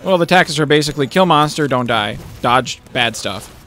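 A man's voice: mid-range voice, lively intonation, a little fast, pushed and bright. A man offering dry, clipped comments while playing a game. Faint game music runs beneath him. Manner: dry, short remarks. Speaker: guest player. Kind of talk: casual gameplay chat.

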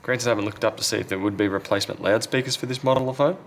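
Low-pitched man muttering through hands-on work. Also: fairly even intonation, racing. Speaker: phone repair technician and video host. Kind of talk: casual hands-on repair commentary. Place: indoor repair workbench. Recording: clear background noise.

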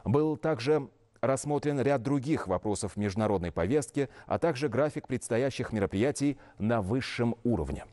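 A man in his thirties reading scripted news as an anchor in a formal, measured, official manner. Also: mid-range voice, animated, warm tone. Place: television news studio.

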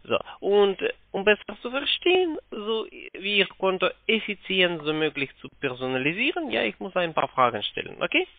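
A man with a foreign accent, not a native German speaker, haltingly reading out a phone sales pitch in broken German and stumbling over his words. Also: very animated, no echo. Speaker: telephone sales agent. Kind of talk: scripted cold-call sales pitch, read out haltingly. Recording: narrow, tinny phone line.

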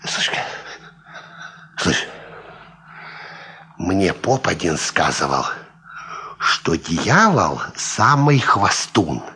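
An actor in a Soviet radio play playing a drunken, boastful old ex-soldier farmhand, rambling from mutters to shouts. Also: male voice, sits low, very animated, very slow, long pauses, exaggerated voice. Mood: confiding, defiant. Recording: 1950s Soviet radio drama recording.

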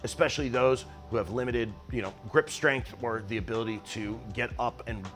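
An enthusiastic man in his forties speaks straight to camera in a woodworking shop, casual and conversational, with plenty of fillers. His voice sits at a middling pitch. Faint music plays underneath. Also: a little fast, fairly bright tone. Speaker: woodworking channel host. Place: mostly empty woodworking shop, indoors.

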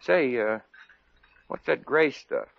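An actor playing a put-upon, excitable young store clerk and boyfriend in 1940s radio comedy style. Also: male voice, mid-range voice, slow pace, gravelly. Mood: grumbling. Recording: dull old radio recording.

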